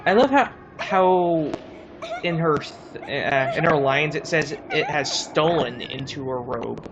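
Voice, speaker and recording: A woman's voice: deep voice, animated, slow, muffled recording, noisy background. A woman co-hosting a let's play, giving casual gameplay commentary in a hesitant, conversational way.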